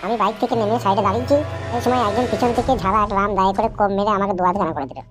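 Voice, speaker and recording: A woman voicing a bossy, scolding ghost of a former thug in a comic cartoon, with gruff, coarse slang. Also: mid-range voice, very fast, over music, natural voice. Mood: grim.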